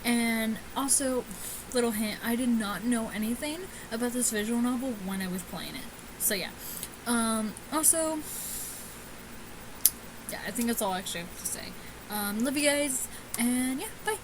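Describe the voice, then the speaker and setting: A woman's voice: fairly high pitch, moderate pace, noisy background. A woman hosting a gaming video with casual, chatty let's-play commentary, stumbling over words as she reads aloud. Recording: music behind the voice.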